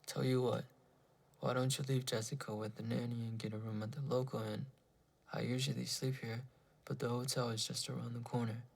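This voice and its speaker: A man playing an earnest scientist husband in a horror story, reassuring and insistent, with a gravelly voice. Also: medium pitch, little pitch movement, brisk. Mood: coaxing. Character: earnest male scientist husband pleading and reassuring his wife. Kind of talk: dramatized horror narration dialogue.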